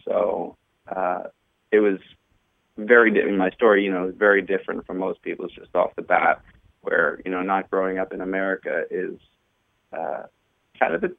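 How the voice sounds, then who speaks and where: A man in his thirties from New Jersey, a guest telling his life story in a relaxed, hesitant way with fillers. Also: deep voice, normal speed, vocal fry, pauses often, no room sound. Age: 30s. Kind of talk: casual interview chat. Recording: tinny phone-like line, dry.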